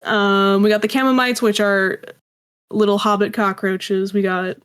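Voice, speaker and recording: A woman's voice: medium pitch, level tone, a little slow, clear, resonant tone. Young woman, wry casual interview guest. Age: young adult. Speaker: guest, indie animation and audio drama creator.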